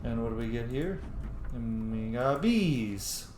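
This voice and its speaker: A man's voice: mid-range voice, lively intonation, takes it slowly, over music. A man streaming a video game with casual, offhand and sometimes profane commentary. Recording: echoey room with faint noise.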